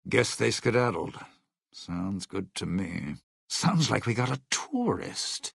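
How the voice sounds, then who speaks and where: Sly game companion, gravelly male lounge singer, slow wry delivery, dated slang, lively swoops. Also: fairly low pitch, no echo, clean studio sound, exaggerated voice. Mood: wry, wary. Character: sly, sardonic, world-weary old-time lounge singer and schemer. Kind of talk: video game companion voice lines.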